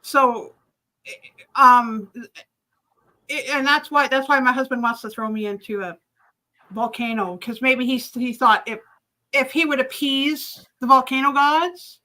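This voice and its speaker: Woman, high pitch, bright forceful tone, casual live-stream chat. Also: a little slow, long pauses.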